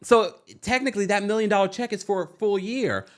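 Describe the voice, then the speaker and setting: A man's voice: high-pitched, fairly quick. A man hosting a reality TV recap livestream, talking casually in a playful, teasing, exclamatory way and repeating phrases for emphasis. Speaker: host of a reality TV recap show. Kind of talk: casual gossipy livestream commentary.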